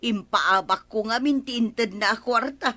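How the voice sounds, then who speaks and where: Shrill, whining gambler housewife. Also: female voice, slow, pushed and bright, exaggerated voice. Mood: sulky, complaining. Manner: wailing, exclamatory, melodramatic. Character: whining gambling housewife, loud and easily hurt. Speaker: radio drama actress. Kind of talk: Ilocano radio comedy drama acting.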